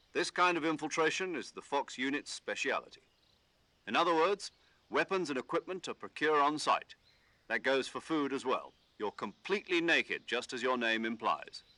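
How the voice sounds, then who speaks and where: A calm commanding officer. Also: male voice, mid-range voice, no room sound. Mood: steady. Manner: measured, instructive briefing tone. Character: calm British male commanding officer on the radio. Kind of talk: game dialogue.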